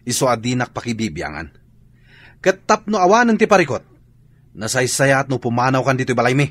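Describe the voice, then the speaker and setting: An actor playing a cold, unfaithful husband in a radio drama, curt and demanding. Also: male voice, mid-range voice, sing-song, brisk, fairly bright tone, no room sound. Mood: harsh. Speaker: husband character. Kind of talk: Ilocano radio drama acting.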